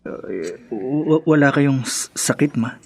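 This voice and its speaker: A man's voice: medium pitch, animated, slow pace, darkish tone, slightly rough, heavily acted. A Filipino man acting as a concerned grown son in a radio drama, hesitant and placating.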